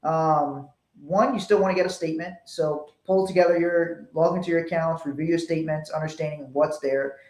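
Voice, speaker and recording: A man's voice: on the high side, steady tone, fairly quick, a little mellow. A man from Liberia presenting a financial webinar in a conversational, explanatory way. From Liberia, West Africa. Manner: explanatory, illustrates points with acted-out dialogue. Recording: roomy echo.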